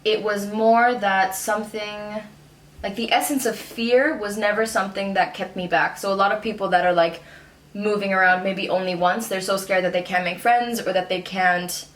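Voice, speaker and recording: A woman's voice: medium pitch, fairly even intonation, normal speed, a bit bright. Young woman chatting in an echoey room. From born in Dubai, moved around often. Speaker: guest. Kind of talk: casual conversational discussion. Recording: echoey room with some background noise.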